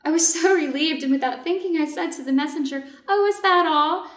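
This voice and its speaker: A woman's voice: high voice, fairly quick, close to an everyday voice. A woman playing an anxious, devoted doctor's wife in a reader's theater piece, earnest and careful in her delivery. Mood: anxious, relieved. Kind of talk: reader's theater stage reading. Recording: echoey room, distant mic.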